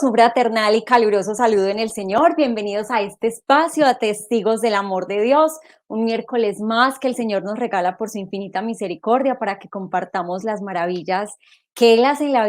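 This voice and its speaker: A woman's voice: a little high, a little fast. Woman hosting a faith interview show. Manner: warm devout greetings, loose self-correcting phrasing. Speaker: host. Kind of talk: welcoming interview on a faith program.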